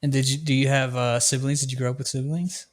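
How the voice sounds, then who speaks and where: A man's voice: medium pitch, quick pace. Easygoing young man hosting a podcast. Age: young adult. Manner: relaxed, friendly questions with filler "uh". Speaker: podcast host. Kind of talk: casual interview conversation.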